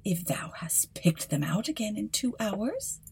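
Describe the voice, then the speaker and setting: Woman acting a haughty, breathy storybook stepmother. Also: mid-range voice, big pitch swings, a little slow, darkish tone, full character voice. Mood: cold. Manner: haughty, scolding. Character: cold scornful stepmother, haughty older woman.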